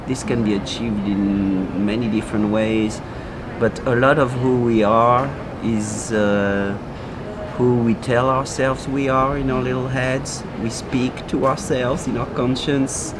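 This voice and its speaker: A male teacher giving a measured, reflective interview answer. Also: medium pitch, fairly even intonation. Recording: noisy outdoor recording with traffic.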